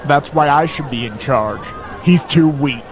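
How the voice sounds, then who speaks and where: A man's voice: a little high, unhurried, lightly acted. An actor playing a hot-headed, aggressive male fighter in an audio drama. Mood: angry. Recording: noisy outdoor crowd ambience.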